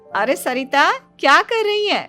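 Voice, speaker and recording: A woman's voice: sits high, big pitch swings, a little slow, bright, forceful tone, faint music behind, voice pushed up, heavily acted. A woman voicing a kindly, chatty neighbour woman in a story, in a friendly manner.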